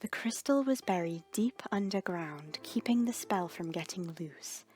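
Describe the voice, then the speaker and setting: A woman's voice: on the low side, lively intonation, unhurried, dark tone. A woman reads scripted storybook narration in a dramatic, solemn storytelling manner. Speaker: narrator reading fan fiction. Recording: music under the voice. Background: background music.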